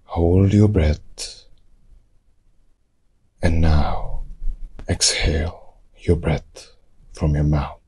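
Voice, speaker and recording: A man leading a guided meditation, giving calm, measured instructions in short phrases with long pauses. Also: extremely low pitch, breathy edge.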